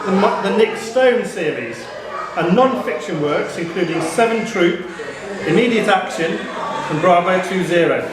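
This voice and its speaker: A man's voice: high-pitched, expressive, unhurried. A man working as quiz master, reading out questions at a live pub quiz. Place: indoor pub quiz venue. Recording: echoey crowded pub room. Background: clear crowd chatter.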